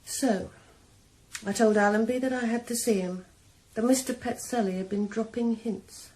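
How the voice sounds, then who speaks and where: An actress playing a young woman killer calmly confessing her revenge in a 1980s radio drama. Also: fairly high pitch, close to an everyday voice, poor audio. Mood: cold confessing. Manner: calm, measured, quietly cold. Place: sauna.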